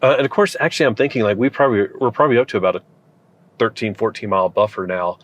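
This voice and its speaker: A man's voice: fairly low pitch, animated, rapid-fire. A man in his forties vlogging while he drives at night, thinking aloud with plenty of fillers, filmed by a dashboard camera. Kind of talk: casual chat while driving. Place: inside a car at night. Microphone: camera mounted on the dashboard.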